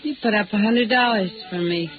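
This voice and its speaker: A woman's voice: medium pitch, a little fast, phone-quality sound, natural voice. Actress as a guarded hard-luck young American woman. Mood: suspicious, guarded. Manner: suspicious, slangy, curt. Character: guarded, hard-luck young blonde petty thief, streetwise and cynical. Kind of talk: 1950s radio noir acting. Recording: thin old radio recording, loud music.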